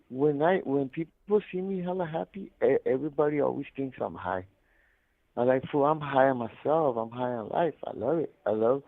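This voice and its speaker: A man telling stories casually over a muffled phone line, rambling in slangy, profanity-heavy talk. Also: mid-range voice. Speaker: incarcerated caller telling his life story. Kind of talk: casual storytelling over a prison phone call. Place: prison, on a phone.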